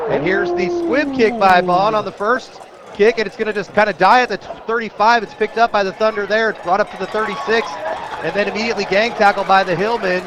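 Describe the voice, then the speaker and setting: An American man with a high voice works as a football play-by-play announcer on radio. He calls the game live from a stadium booth. The crowd is clearly audible behind him. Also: highs cut off. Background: crowd at an outdoor stadium.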